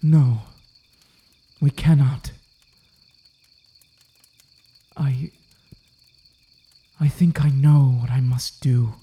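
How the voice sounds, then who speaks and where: A dutiful but uneasy male Puritan sheriff played by a man in a period audio drama. He speaks slowly and formally in a soft, dark tone within an echoing room. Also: medium pitch, steady tone, lightly acted. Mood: uneasy, conspiratorial. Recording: roomy, echoing sound.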